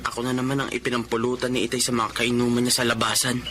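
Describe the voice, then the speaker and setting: A man's voice: mid-range voice, completely flat delivery, fast, background noise, natural voice. A man playing an earnest young Filipino son in a radio drama, narrating and speaking with open emotion. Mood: rueful narrating. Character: earnest young graduate son, wounded yet loyal, narrating life.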